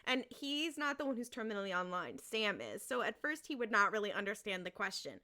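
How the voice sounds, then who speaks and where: A woman's voice: medium pitch, very animated, a little fast, sharp tone. A young adult woman chatting casually and comedically as a podcast panelist.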